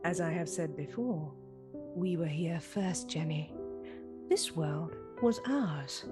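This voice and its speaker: A woman's voice: low voice, music in the background. A woman playing a proud, genteel reptilian lady of an ancient race in an audio drama, speaking formally and precisely. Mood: haughty, matter-of-fact. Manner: formal, measured, precise, teasing.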